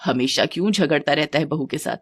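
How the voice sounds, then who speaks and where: A woman's voice: low-pitched, brisk, slightly rough, no room sound, pitched below the performer's own voice. A woman voicing a stern, domineering older mother-in-law with a commanding manner.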